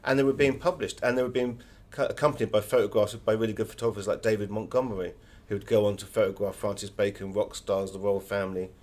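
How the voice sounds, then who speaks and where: A man's voice: lowish voice, steady tone, fast talker, hardly any pauses, darkish tone. A man giving conversational, anecdotal answers as an author being interviewed.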